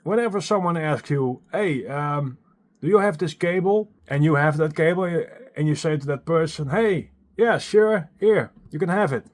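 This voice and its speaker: A man's voice: a little high, moderate pace. A young man with a Dutch accent vlogging casually from his small home studio, chatty and self-deprecating, a little out of breath. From Netherlands. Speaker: music producer vlogger. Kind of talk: casual vlog talking to camera. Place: small home studio with acoustic foam, indoors. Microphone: handheld phone at arm's length.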